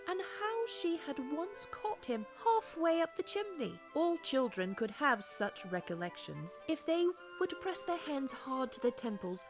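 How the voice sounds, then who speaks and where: A woman narrating a children's storybook in an expressive, scripted storytelling delivery. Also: on the high side. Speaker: audiobook reader.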